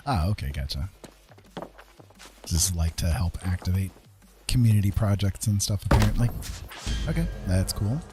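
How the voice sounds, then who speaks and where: A man giving casual gameplay commentary, muttering and thinking out loud as he plays. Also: deep voice, expressive, moderate pace, long pauses. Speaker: game streamer playing through a new game. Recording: game music behind the voice. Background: video game music and sounds.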